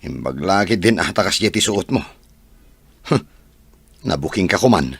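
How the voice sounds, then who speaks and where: A man plays a probing, teasing boss in an audio drama. His voice is low and gravelly, and it moves in wide, lively swings. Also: moderate pace, no room sound, heavily acted.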